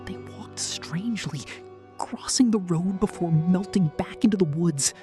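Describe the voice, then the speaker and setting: A soft-spoken, weary woman narrating a first-person horror story. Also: on the low side, measured pace, music in the background.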